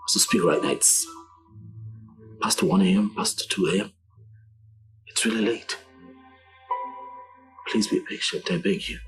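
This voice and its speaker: An actor playing a devoted husband who softly soothes and pleads with his distraught wife. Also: deep voice, full character voice, poor audio. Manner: soothing, pleading, soft-spoken. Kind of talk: film drama acting.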